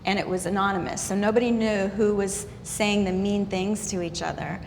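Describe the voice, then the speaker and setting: A woman in her fifties chatting on a talk show panel, telling stories in a lively way and often restarting her sentences. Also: mid-range voice, normal speed. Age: 50s. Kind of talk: candid panel chat. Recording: reverberant room with faint noise.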